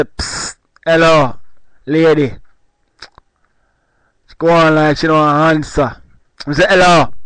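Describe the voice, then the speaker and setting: Man, put-on Jamaican patois, boastful Rasta persona, smooth voice, dry sound. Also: on the high side, a bit bright, no echo, rough recording. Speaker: comedy podcast co-host. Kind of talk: comic storytelling.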